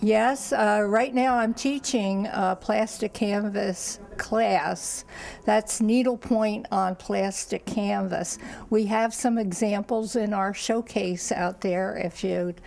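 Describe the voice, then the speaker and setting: A woman's voice: medium pitch, steady tone, slow pace, vocal fry, a little mellow. An older woman, a craft teacher answering casually in an interview.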